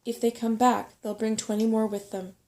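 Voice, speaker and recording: A woman's voice: on the high side, little pitch movement, normal speed, a little mellow, natural voice. A woman voicing a plucky, frightened young boy in a storybook, urgent and protesting.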